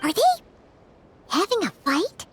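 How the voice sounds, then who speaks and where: A woman voicing a small, childlike, eager video game companion with a squeaky voice. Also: animated, unhurried, noisy background. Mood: curious, puzzled.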